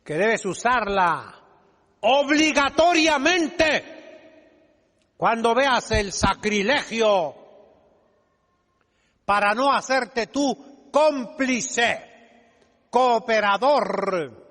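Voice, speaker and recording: A man's voice: very high voice, animated, drawn-out words, long pauses, a bit bright. A man preaching a sermon as a priest, emphatic and didactic, putting rhetorical questions to his listeners. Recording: long reverberant echo of a large hall.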